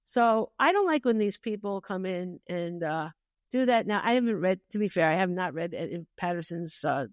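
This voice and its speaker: A woman's voice: lowish voice, animated, moderate pace, dry recording, studio quality. A woman hosting a podcast, chatting casually and opinionatedly in an exasperated way with lots of rhetorical questions. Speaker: podcast host.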